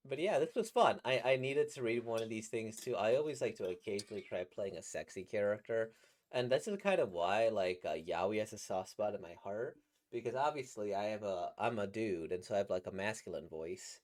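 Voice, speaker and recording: A man with a slightly squeaky, trebly voice, a voice actor casually reacting to comics in a rambling, playful way. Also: animated, fairly quick, a little mellow. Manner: rambling, playful, laughs at his own jokes.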